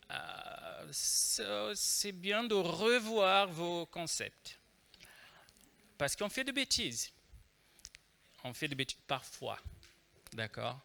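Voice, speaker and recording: Bright slow Brazilian-accented man speaking. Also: fairly high pitch. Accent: Brazilian Portuguese. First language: Portuguese. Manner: joking, hesitant with many fillers. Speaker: conference speaker. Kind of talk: conference talk.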